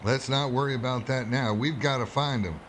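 A man's voice: mid-range voice, steady tone, fairly quick, fairly bright tone, a little hiss or hum, lightly acted. A man playing a stern, urgent small-town doctor in old-time radio horror style, commanding and dramatic.

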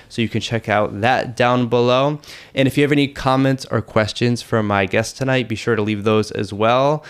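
A man's voice: fairly low pitch, smooth. Upbeat male podcast host. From Boston, USA. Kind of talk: casual podcast chat.

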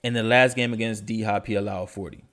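A man's voice: fairly low pitch, fairly even intonation, a little fast, a bit bright. A young man hosting a casual sports podcast, stuffy from allergies, talking in a laid-back, slangy way. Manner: laid-back, slangy, enthusiastic about stats. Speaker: podcast host.

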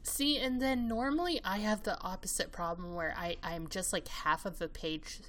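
A woman co-hosting a casual podcast chat, sympathetic and quick to laugh, with frequent listening murmurs. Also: mid-range voice, fairly bright tone. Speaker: podcast co-host.

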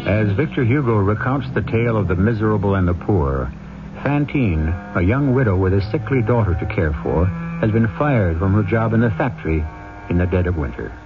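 Low-voiced man narrating vintage radio drama. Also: expressive. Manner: measured, dramatic. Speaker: host and narrator. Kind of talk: old-time radio scripted narration. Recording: phone-like old radio recording with music. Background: music.

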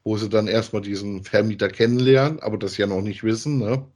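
A man's voice: on the low side, steady tone, brisk, a little mellow. A man co-hosting a podcast in casual discussion, giving his opinions in an emphatic, colloquial way and often seeking agreement. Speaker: podcast co-host reviewing audio dramas.

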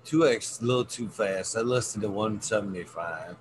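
Playful man, lowish voice, clear background noise. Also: normal speed. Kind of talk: casual chat. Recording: noisy background.

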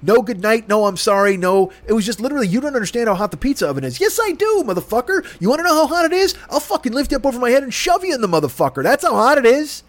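A man's voice: very high-pitched, very animated, very fast, hardly any pauses, no room sound. A middle-aged man from Chicago with a Chicago accent, telling a long exasperated story in a heated, profane rant. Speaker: podcast host.

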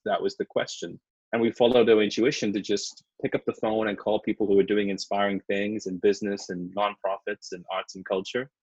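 A man from Sri Lanka, a consultant and author, telling his story in a relaxed, reflective way as a guest over a video call from his home studio. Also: fairly low pitch, husky, no room sound, highs cut off.

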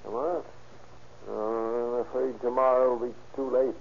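Male actor for a paranoid, volatile husband, old-time radio drama. Gravelly, querulous, muffled noisy recording. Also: mid-range voice, level tone, normal speed, a little mellow, close to an everyday voice. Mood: sullen, ominous. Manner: querulous, accusing. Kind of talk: 1930s radio drama acting.

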